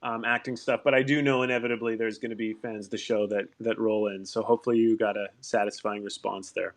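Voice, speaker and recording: A man's voice: on the low side, level tone, fast. Male host of a fan Q&A, easygoing and thoughtful, tends to circle back mid-sentence. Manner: thoughtful, rambling with restarts and soft laughs. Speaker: actor hosting a fan Q&A. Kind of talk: casual audio chat answering fan questions. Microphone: online audio room.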